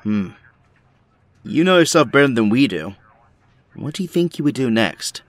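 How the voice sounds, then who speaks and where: A man's voice: medium pitch, big pitch swings, normal speed, no room sound. A man acting in an audio drama as a determined, embittered resistance strategist with a serious, pointed manner. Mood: insistent.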